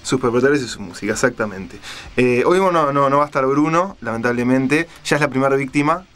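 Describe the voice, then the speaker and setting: A man's voice: mid-range voice, fast, a bit bright, clean tone. A man with a Rioplatense Spanish accent hosting a radio show in casual, unscripted talk. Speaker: radio host.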